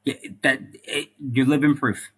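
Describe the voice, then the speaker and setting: A man's voice: medium pitch, steady tone, a little slow, a bit bright, slightly rough. A middle-aged man, a former police officer and firefighter, talking as a podcast guest in an earnest way, often repeating words and adding "like" and "right?". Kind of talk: casual interview conversation.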